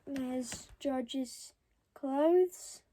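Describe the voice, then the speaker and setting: A young child reading a storybook aloud, stopping for halting, chatty asides to the listeners. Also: high-pitched, noisy background. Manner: halting, commenting on pictures to listeners. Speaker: storybook reader.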